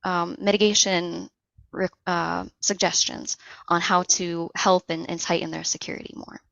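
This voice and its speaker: Female interview guest, slightly low voice, explains things methodically and conversationally, close dry sound. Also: flat delivery, normal speed, creaky, fairly bright tone, no echo, lo-fi sound. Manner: explains step by step with examples. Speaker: interview guest, social engineering analyst.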